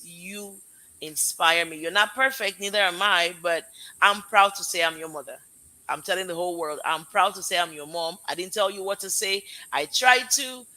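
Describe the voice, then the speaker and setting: A woman with a Nigerian accent blended with American tones hosts a casual live stream. Her voice is intensely bright and sharp. She pours out effusive, heartfelt thanks and rambles warmly from one guest to the next. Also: fairly low pitch, poor audio. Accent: Nigerian with American mix. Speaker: live show host, parent.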